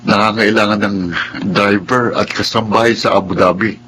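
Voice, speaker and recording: An actor playing a sincere, patient young suitor in a radio drama, with a Filipino accent. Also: male voice, on the low side, steady tone, normal speed, noisy background, close to an everyday voice. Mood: upbeat.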